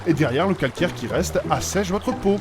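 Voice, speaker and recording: Guy doing a fussy polite older fella, high voice that swoops around, prim n coaxing, comedy podcast drama w music under it. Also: animated, normal speed, lightly acted. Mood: gently scolding. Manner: prim, formal, fussing and coaxing. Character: fussy, polite older man devoted to skincare. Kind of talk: comic audio fiction acting.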